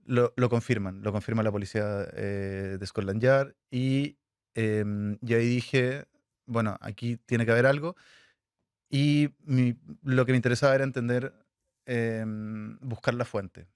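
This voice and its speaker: A man, a filmmaker, answering interview questions in a halting way, with many fillers and restarts. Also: mid-range voice, steady tone, darkish tone, smooth, no room sound, clean studio sound. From Chile. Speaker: filmmaker guest.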